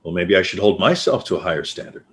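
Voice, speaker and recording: A man acting as a nosy, teasing former literary agent in a stage play, husky-voiced, with a probing, conversational manner. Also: low-pitched, lively intonation, fast talker, full character voice. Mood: chatty, curious. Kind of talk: naturalistic stage play dialogue.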